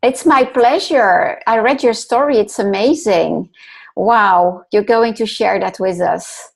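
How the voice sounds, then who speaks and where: A woman's voice: medium pitch, slow, creaky. A woman hosting an interview show, reading an introduction and then encouraging her guest with short affirmations. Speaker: podcast host, mentor.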